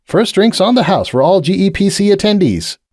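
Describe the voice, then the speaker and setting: A suave stallion bartender welcomes guests in a smooth, showy way, as a man puts him on. The voice sits well above the reader's usual pitch and bounces with lively inflection. Dark, mellow tone in a dry, close reading. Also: fairly quick, no echo. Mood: welcoming. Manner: smooth and welcoming, a touch grand.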